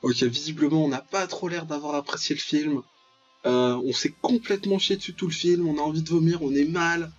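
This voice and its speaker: Raspy guy doing jokey ironic let's play commentary, bit dull lo-fi mic, soft music behind. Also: fairly high pitch, fairly quick. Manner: ironic, reacts aloud and paraphrases on-screen text. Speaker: let's player, channel host. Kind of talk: casual joking gameplay commentary of a visual novel.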